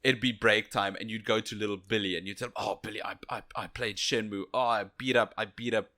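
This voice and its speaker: A man co-hosting a casual game review podcast, speaking in a halting, jokey way with frequent repeated phrases. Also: on the low side, steady tone, bright, forceful tone. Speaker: podcast co-host.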